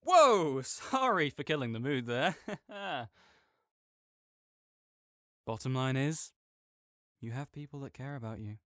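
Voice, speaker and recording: A man playing a smooth-voiced caring friend. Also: medium pitch, lively intonation, slow pace, pauses often, dry recording, studio quality. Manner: gentle, reassuring, softly joking. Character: caring, easygoing young male friend. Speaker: friend comforting the listener. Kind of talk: audio roleplay spoken to the listener.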